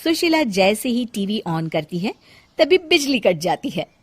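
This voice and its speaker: A woman narrating a scripted cartoon moral story in an expressive storyteller's style. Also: on the high side, a little slow, soft background music.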